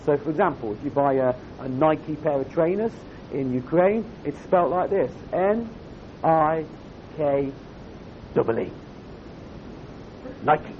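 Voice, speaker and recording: Croaky man preaching to young people. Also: lively intonation, slow, long pauses. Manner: conversational, emphatic repetition. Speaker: camp speaker. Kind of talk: youth sermon. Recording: dull lo-fi recording with faint rumble.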